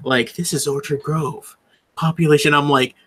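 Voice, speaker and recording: A man chatting casually as a show guest, talking in a halting, informal, giggly way. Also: on the high side, fairly even intonation, a bit bright. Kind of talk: casual chat.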